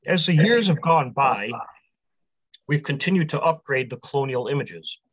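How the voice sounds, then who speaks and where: A man in his forties, a publisher, giving a prepared convention talk from a small room over a video call, in a measured delivery that runs through long lists. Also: fairly high pitch, expressive, breathy edge, no room sound. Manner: measured, list-heavy delivery. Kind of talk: prepared talk read aloud. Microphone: webcam on a video call. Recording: dry, slightly muffled webcam audio.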